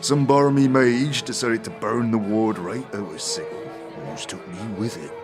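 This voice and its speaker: An actor playing a huge, jovial, blustery merchant with folksy street slang in a fantasy audio drama. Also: male voice, fairly low pitch, moderate pace, music in the background, lightly acted. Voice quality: hearty, chuckling. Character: huge bald burn-scarred blustery jovial male merchant.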